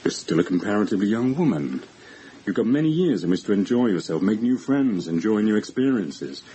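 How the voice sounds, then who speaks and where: An actor playing a calm, measured doctor who probes and advises a patient in a radio drama. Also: male voice, lowish voice, a little hiss or hum, poor audio. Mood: calm, firm.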